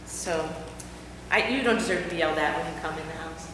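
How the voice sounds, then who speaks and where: A woman's voice: sits low, fairly quick, fairly bright tone, slight background noise. A woman playing an overworked, exasperated housewife. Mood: apologetic. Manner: emotional, swinging between scolding and pleading. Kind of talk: church skit acting. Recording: echoey hall from far off.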